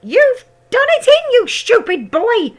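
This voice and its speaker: A woman voicing a bossy, shouting old grandmother in a storybook reading, shrill and cackling. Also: wildly swooping pitch, unhurried, heavily acted. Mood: scolding shriek.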